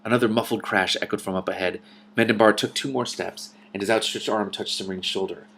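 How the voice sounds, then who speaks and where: A man reading a novel aloud and adding his own commentary, with an expressive, animated delivery. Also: fairly low pitch, a little fast, gravelly, a little hiss or hum. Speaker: reader and commentator.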